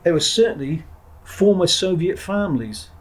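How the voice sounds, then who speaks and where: Husky middle-aged man. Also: high-pitched, animated, moderate pace, poor audio. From England. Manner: blunt and digressive, with frequent restarts and the odd swear word. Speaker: podcast guest, former Marine trainer. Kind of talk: reflective interview talk.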